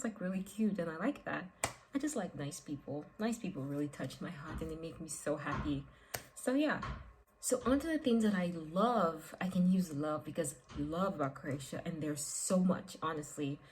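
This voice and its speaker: Young woman vlogger from Nigeria, lowish voice, chatty and animated, faint background hiss. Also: poor audio. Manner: chatty and expressive, with frequent "like" and "um" fillers. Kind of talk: casual vlog talk to camera.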